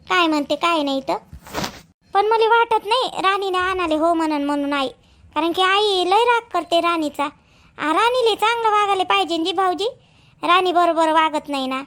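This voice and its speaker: A woman's voice: extremely high pitch, a bit bright. A woman voicing an obliging young sister-in-law in a village cartoon, chatty and repetitive, with a Vidarbha Marathi accent. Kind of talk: homemade cartoon family dialogue.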